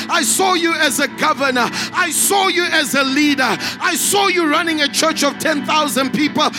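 A man from Zimbabwe, a pastor preaching a fervent sermon in a church, building up to shouts. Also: very high voice.

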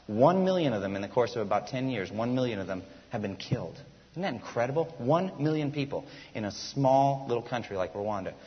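Earnest male preacher in a reverberant hall. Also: medium pitch. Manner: earnest, emphatic, rhetorical questions. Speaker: pastor. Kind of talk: sermon. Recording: dull lo-fi church hall recording.

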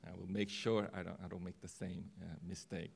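A man speaking to a church gathering in a soft-spoken, reflective way. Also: sits low, flat delivery, a little fast, creaky, slight background noise. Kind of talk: church presentation talk.

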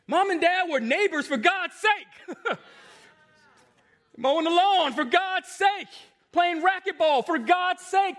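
An American man in his forties preaching a sermon as a pastor, emphatic and given to repeated refrains, moving between hushed asides and loud exclamations. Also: very high voice. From Long Island, New York, United States. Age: 40s.

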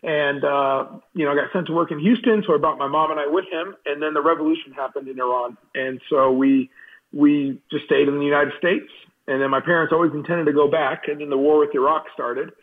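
A man from Southern California, a team chaplain appearing as a guest, telling his story in a relaxed, friendly way. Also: on the high side, a little fast. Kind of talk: casual interview storytelling. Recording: remote call with tinny phone-like sound.

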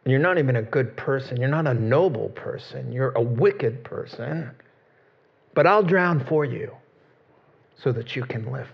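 Stern, blunt lifeguard, voiced by a preacher, gravelly and plain. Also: male voice, mid-range voice, expressive, measured pace, highs cut off, heavily acted. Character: self-sacrificing lifeguard man, stern and blunt.

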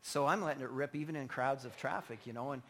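Male pastor, sharp forceful tone, slightly strained voice, informal emphatic preaching, long hall reverb. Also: mid-range voice, quick pace. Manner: emphatic, informal and conversational, with repeated phrases. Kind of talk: sermon. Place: large indoor church hall.

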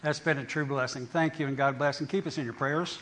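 A man's voice: medium pitch, fairly even intonation, brisk, fairly bright tone, a little hiss or hum. Earnest man thanking a church. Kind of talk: thank-you message to a church.

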